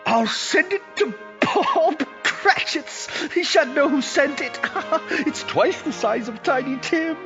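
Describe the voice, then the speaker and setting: An elderly reformed miser bubbles over with joy in an audio play. His bright, very high voice exclaims and stammers as he repeats himself. The recording is slightly dull. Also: expressive, slow pace, few pauses, faint music behind, heavily acted. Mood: giddy, exuberant. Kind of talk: audio drama acting.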